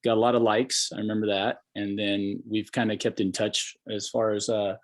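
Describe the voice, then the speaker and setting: Low-voiced American man hosting a podcast. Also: level tone, normal speed. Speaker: podcast host. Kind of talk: casual podcast interview chat.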